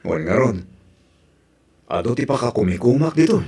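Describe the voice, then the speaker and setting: Young male fiancé played by a man, husky and evasive, hesitant everyday talk for radio-style family drama. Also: medium pitch, very animated, moderate pace, mellow. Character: evasive young man engaged to a pregnant woman.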